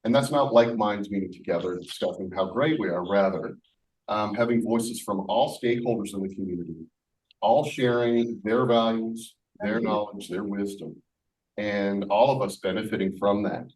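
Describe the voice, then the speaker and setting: Projecting low-voiced man, recorded at a distance. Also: moderate pace, darkish tone. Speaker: school leader. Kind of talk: informal prepared speech at a community event. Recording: distant microphone in a room.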